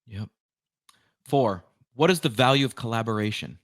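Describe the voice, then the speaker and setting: A man hosting a podcast, presenting in clear phrases with an affirming manner. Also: medium pitch, moderate pace, no echo, clean studio sound. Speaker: podcast host. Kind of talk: podcast interviewing and scripted outro.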